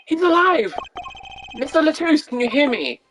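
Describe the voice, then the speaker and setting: A woman's voice: high voice, very animated, normal speed, over music, voice pushed up. A woman playing an earnest, anxious young male lawyer in a video game, speaking with urgent concern. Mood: alarmed.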